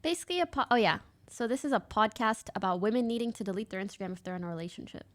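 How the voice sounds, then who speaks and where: A woman's voice: medium pitch, animated, very fast, fairly bright tone. A woman in her twenties from Canada, giving blunt, casual commentary on a stream and swearing now and then.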